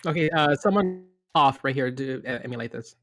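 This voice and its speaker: A man's voice: medium pitch, a little fast, fairly bright tone. A man talking casually in a group podcast.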